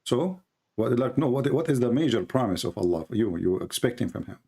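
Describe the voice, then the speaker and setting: A man's voice: mid-range voice, animated, fairly quick, gravelly, mellow, breathy edge. A man whose first language is Arabic, hosting an argumentative live debate in non-native English, insistently repeating his phrases. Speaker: host, religious debater.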